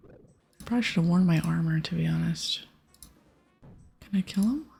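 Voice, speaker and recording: A woman giving casual, gentle ASMR commentary on a video game in a soft, breathy, often whispered voice. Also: on the low side, normal speed, slight background noise. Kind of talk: ASMR game commentary, reading dialogue aloud. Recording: soft music behind. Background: music, keyboard clicks.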